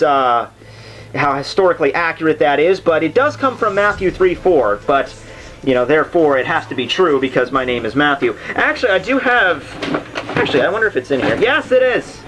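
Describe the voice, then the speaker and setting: A man's voice: fairly high pitch, expressive, normal speed, fairly bright tone. A man giving a casual, unscripted explanation as host, rambling and dropping jokey asides. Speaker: host of a literature summary series. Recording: music playing under the voice. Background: background soundtrack music.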